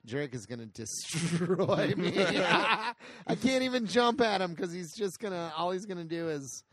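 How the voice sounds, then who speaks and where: A man's voice: high voice, animated, a little slow, fairly bright tone, no room sound, studio quality. A man co-hosting casual video game commentary, joking and swearing freely in playful banter. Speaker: co-host. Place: indoors, playing video games.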